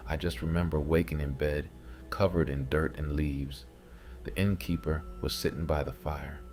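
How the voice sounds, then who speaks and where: A man narrating a scripted first-person horror story in a measured, ominous way, with music behind him. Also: rumbling low, steady tone, darkish tone. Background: music bed.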